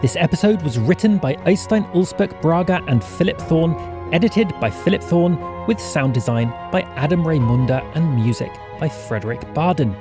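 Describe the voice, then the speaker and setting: A fairly high male voice with lively intonation, reading scripted credits as an announcer. Music plays clearly behind him. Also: normal speed.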